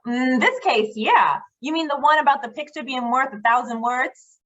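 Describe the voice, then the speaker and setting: A woman's voice: sits high, expressive, normal speed, a bit bright, highs cut off. A woman playing a wry, efficient newspaper secretary in an old-time radio drama. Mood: matter-of-fact.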